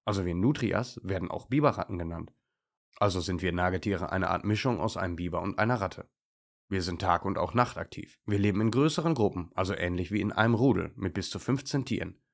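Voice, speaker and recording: A friendly, talkative male nutria from a children's story, played by a man. He explains things cheerfully and knowingly in a low voice with a gravelly creak. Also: a little fast. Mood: cheerful explaining. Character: cheerful, chatty male nutria, friendly local know-it-all.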